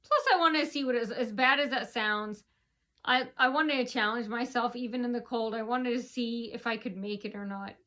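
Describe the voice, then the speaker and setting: Bright-voiced woman rambling to camera. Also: fairly high pitch, normal speed. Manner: rambling with many fillers and restarts. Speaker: vlogger. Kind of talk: rambling personal monologue.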